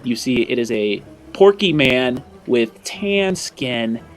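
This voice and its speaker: A man's voice: medium pitch, expressive, slow pace, faint music behind. A man improvising descriptive narration as the game master of a tabletop roleplaying game.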